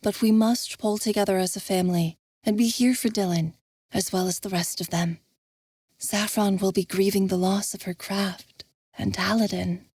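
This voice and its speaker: A woman voicing a gentle, soothing royal mother. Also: mid-range voice, steady tone, moderate pace, husky, no room sound, natural voice.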